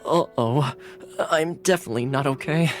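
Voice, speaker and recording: A man's voice: medium pitch, normal speed, close to an everyday voice. An actor playing a cheerful young male retainer in a video game, easygoing and polite, with a playfully boastful streak. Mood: amiable. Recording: game audio with soft music behind.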